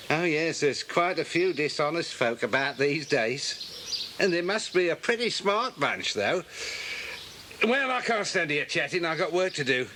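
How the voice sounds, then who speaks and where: A man playing a gruff, wary English railway worker with a colloquial, brusque way of talking in a dramatised children's audiobook. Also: high voice, bright, forceful tone, full character voice. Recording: noisy old cassette recording.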